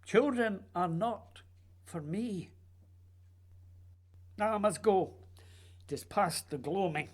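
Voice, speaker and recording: A woman playing a proud, secretive male playwright in a radio play, speaking formally and deliberately in a husky voice. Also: mid-range voice, lively intonation, darkish tone. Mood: pained, evasive.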